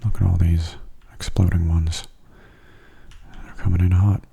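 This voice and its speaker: A man giving soft-spoken ASMR video game commentary in a breathy, hushed, often half-whispered voice, gentle and understated. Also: low-pitched, little pitch movement, measured pace.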